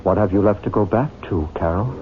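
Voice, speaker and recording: A thin old radio recording with faint noise carries an actor as a calm psychic hypnotist. His fairly low voice is measured and solemn as he gently probes. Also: narrow, tinny audio, close to an everyday voice. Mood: calm, probing. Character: calm, probing male psychic healer and hypnotist. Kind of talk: old-time radio drama acting.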